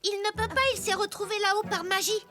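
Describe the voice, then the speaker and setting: A woman doing a bright wooden puppet boy. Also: little pitch movement, quick pace. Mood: eager. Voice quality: high, bright, eager. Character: small cheerful wooden puppet boy detective. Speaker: lead character. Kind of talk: dubbed cartoon acting. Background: children's music.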